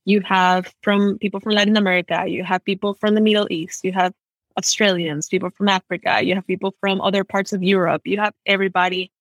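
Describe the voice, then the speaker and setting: Young Ecuadorian-raised woman guest, chatty and animated, dry close recording. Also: medium pitch, a little fast, no room sound. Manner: chatty, uses lots of "like", animated storytelling. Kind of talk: casual interview conversation.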